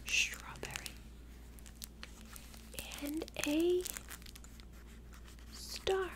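A young woman in an ASMR roleplay as a childcare worker, talking gently and simply to a small child with lots of questions. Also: extremely high pitch, fairly even intonation, drawn-out words. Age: young adult. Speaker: ASMR creator playing a preschool childcare worker.

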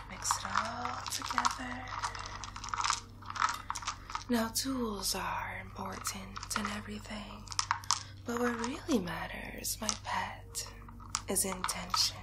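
Woman playing a very slow, whispering vengeful witch. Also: medium pitch, heavy background noise, lightly acted. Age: young adult. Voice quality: breathy, often whispered. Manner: slow, deliberate, soothing yet menacing. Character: sly, vengeful young witch brewing a hex. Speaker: ASMR roleplay performer. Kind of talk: ASMR roleplay, soft whispering and murmuring. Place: small bedroom, indoors.

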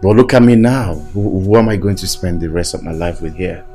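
Man acting a low-voiced, regretful lover. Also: fairly quick, mellow, soft background music, close to an everyday voice. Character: earnest, regretful young lover. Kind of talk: romantic film drama dialogue.

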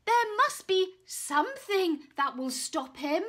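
Storybook knight voiced by a woman: hearty, eager, declamatory, forceful tone. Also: very high voice, very animated, pitched above the performer's own voice, full character voice. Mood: commanding. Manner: declamatory, exclaiming with drawn-out emphasis. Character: bold, hearty male knight eager for battle.

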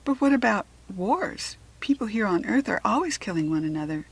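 A woman's voice: medium pitch, animated, brisk, breathy edge. A woman voicing an earnest, caring, anxious young farm girl in a children's storybook, speaking emphatically. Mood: questioning, upset. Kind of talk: children's storybook character reading.

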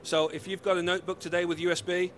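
Man, 40s, mixed British accent, slightly high voice, salesy and upbeat, handheld mic, trade-show booth. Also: flat delivery, fast talker, slight background noise. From United Kingdom. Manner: upbeat, salesy, gesturing while explaining. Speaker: company representative. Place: busy convention hall booth. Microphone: handheld mic held by interviewer.

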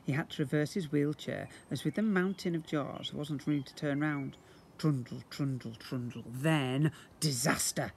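British guy in his 50s reading a kids book out loud, bit high voiced, really hams it up, recorded outside w some background noise. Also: vocal fry. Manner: theatrical, with drawn-out emphasis and acted sound words. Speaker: teacher reading a story to young children. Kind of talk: expressive children's storybook reading. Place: outdoors beside brick houses. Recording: noisy outdoor amateur video recording.

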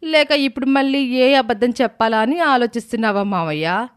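Vengeful young niece for a family story, female actor: angry, accusing, sarcastic, higher-pitched. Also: normal speed, clear, resonant tone, natural voice. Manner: confrontational, sarcastic.

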